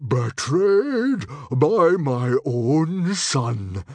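Roaring Viking chief, furious and pompous. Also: male voice, fairly high pitch, very animated, extremely slow, dry recording, voice pushed up, full character voice. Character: furious proud Viking chief, stern middle-aged father.

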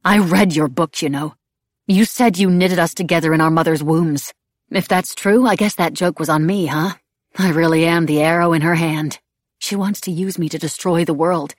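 Audiobook role: bitter young woman, acted by a female narrator, husky and creaky, halting, pleading delivery. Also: deep voice, dry recording, clean studio sound. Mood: angry, accusing. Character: young woman, bitter, desperate, irreverent, praying to absent god. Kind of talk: audiobook character dialogue.

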